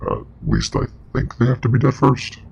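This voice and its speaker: A man playing a grave, knowing investigator and parasite expert in a scripted web horror drama, explaining in a measured, clinical way with a breathy, gravelly voice. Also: mid-range voice, huge pitch swings, muffled recording, noisy background, heavily acted. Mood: solemn, matter-of-fact. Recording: music playing behind.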